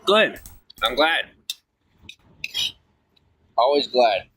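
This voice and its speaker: A man's voice: medium pitch, big pitch swings, drawn-out words, fairly bright tone, a little hiss or hum. A man livestreaming in casual, rambling talk as he reads and answers messages from viewers.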